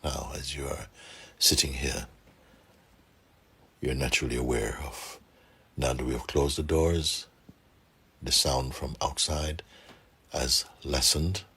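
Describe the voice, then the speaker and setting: A man guiding a meditation for a group, speaking softly and calmly with gentle pauses and a husky, breathy voice. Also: a bass voice. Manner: unhurried, gentle pauses, calm instruction. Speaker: meditation guide. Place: room with a seated group, doors closed.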